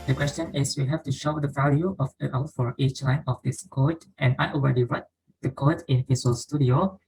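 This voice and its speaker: A woman's voice: a bass voice, moderate pace, slight background noise, poor audio. A woman with an Indonesian accent, a student explaining her lab answer step by step while sharing her screen. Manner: steady explanatory, working through steps aloud. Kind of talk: screen-share walkthrough of code.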